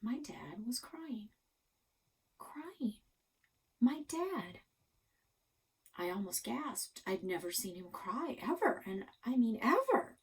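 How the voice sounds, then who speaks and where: A woman narrating a first-person children's novel expressively, with dramatic pauses and hushed asides. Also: fairly high pitch, big pitch swings, breathy edge. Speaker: library story reader.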